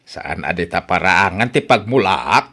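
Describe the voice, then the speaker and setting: A tight-fisted older farmer with an Ilocano accent in a radio drama. His lively voice swings widely in pitch as he grumbles and exclaims, stubborn and always on the defensive. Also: moderate pace, full character voice. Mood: stubborn, dismissive.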